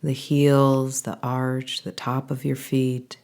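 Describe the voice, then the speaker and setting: Very low-pitched woman guiding a meditation. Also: clear, resonant tone. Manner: calm, gentle, unhurried instruction with pauses. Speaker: meditation guide. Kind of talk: guided body scan meditation.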